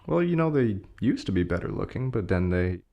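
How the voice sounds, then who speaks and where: A man's voice: on the low side, big pitch swings, a little fast, dark tone, highs cut off. A man acting a flirtatious, teasing academic colleague in an audio play, with casual, joking banter.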